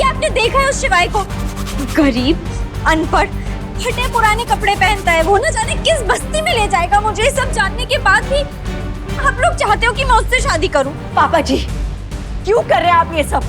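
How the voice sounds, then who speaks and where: Audio drama casting: dramatic wealthy woman, extremely high pitched, emotional and argumentative, music underneath. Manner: emotional, confrontational. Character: dramatic, indignant woman of a rich family. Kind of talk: Hindi audio drama acting.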